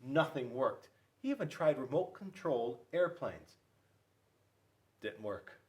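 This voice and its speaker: A man reading a children's storybook aloud, with dramatic pauses and emphasis. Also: mid-range voice, lively intonation, darkish tone, recorded from a distance. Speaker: school resource police officer reading to children.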